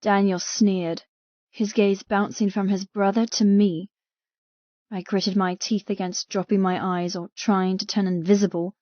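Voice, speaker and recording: A woman's voice: medium pitch, flat delivery, a little slow, no room sound, lo-fi sound. A woman narrating a dramatic first-person novel as a frightened captive. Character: frightened captive young woman telling her story. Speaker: audiobook narrator.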